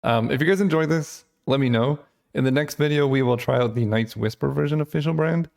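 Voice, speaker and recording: A man hosting his channel, thinking aloud in casual gameplay commentary with lots of fillers, his voice getting hoarse. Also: mid-range voice, brisk, darkish tone. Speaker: channel host.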